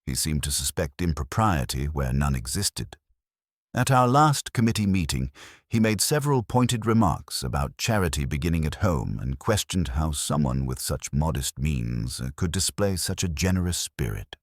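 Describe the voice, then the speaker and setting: A man's voice: very deep voice, very animated, moderate pace, no echo, clean studio sound, heavily acted. A man voicing a prim, defensive committee lady in an audiobook, speaking precisely and formally with a slightly affronted air.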